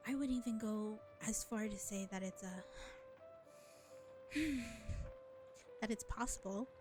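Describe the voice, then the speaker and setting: A woman playing a sly, self-serving vampire bar owner in an audio roleplay, speaking in a sardonic, condescending drawl with deliberate pauses. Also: a little high, darkish tone, close to an everyday voice. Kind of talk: scripted audio roleplay acting addressed to listener.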